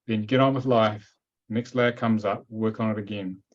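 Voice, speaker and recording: Guy with a lowish voice explaining stuff on a webinar, dull lo-fi call sound, blunt and matter-of-fact. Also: fairly even intonation, normal speed. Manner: direct, matter-of-fact, repeats points firmly. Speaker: webinar host and teacher. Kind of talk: live webinar Q&A. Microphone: video call.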